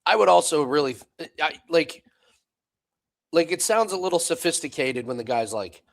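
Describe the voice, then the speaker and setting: An American man co-hosting a live darts watch-along, his voice lively and full of swings. There's a gravelly edge to it, and he chuckles as he calls each score. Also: on the high side, moderate pace. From United States. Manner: laughs often, wry, calls scores play by play. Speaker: co-host calling darts bets.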